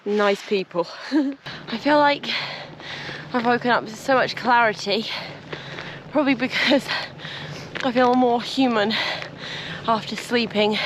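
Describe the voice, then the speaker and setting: Young woman, husky from dust, vlogging outdoors. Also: on the high side, noisy background. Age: 20s. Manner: earnest, reflective, with soft laughs. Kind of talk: reflective outdoor vlog. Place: outdoors on a bike trip.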